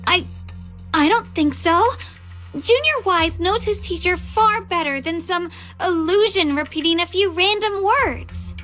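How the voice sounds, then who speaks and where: Young fantasy swordswoman, very high voice, earnest, expressive, video game dialogue. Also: a little slow, soft background music. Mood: earnest, reassuring. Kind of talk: English video game voice acting.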